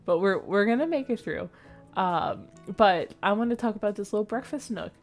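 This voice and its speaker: A woman's voice: mid-range voice, lively intonation, normal speed, soft background music. Chatty young woman, slightly nasal. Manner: chatty, rambling, with frequent fillers and small laughs. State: sleepy. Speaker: Sims builder and channel host. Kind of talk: casual voice-over commentary on a speed build.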